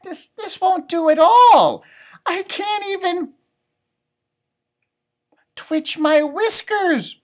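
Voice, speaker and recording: A squashed, worried rabbit from a children's storybook, acted out by a man reading aloud. He is high and fretful, confused and hesitant, stretching his words with lively swings in pitch. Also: very slow, long pauses, full character voice.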